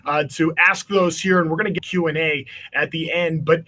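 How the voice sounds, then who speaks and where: A man's voice: a little high, fairly even intonation, normal speed, pushed and bright. A man with an American accent hosting a children's online event in an upbeat, chatty style. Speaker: event host.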